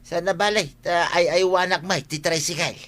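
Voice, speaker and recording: Glib Ilocano tricycle driver, acted by a man, bright voice. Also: sits high, fairly even intonation, normal speed. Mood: coaxing, assuring. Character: glib, reassuring male tricycle driver and crony. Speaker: actor in a comedy radio drama. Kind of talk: comedy radio drama acting.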